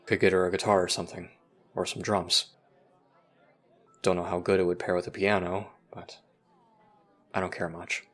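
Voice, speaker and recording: A man playing a withdrawn, dry-humoured teenager in an audio roleplay, deadpan and hesitant. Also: deep voice.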